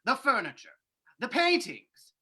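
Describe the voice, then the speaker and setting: Town meeting chair played by a woman: officious, husky, forceful, animated radio-play delivery. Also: fairly high pitch, measured pace, exaggerated voice. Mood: commanding, formal. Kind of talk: old-time radio drama reading.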